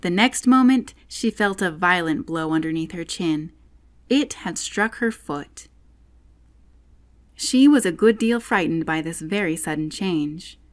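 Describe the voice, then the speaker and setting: A woman reading a children's classic aloud as a scripted audiobook narrator. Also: fairly low pitch.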